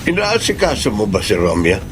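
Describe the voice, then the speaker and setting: An older man playing an elderly father who gently scolds his grown daughter in a Tagalog radio drama, expressive and creaky. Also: fairly low pitch, fairly quick. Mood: admonishing. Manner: admonishing, expressive. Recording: noisy background ambience.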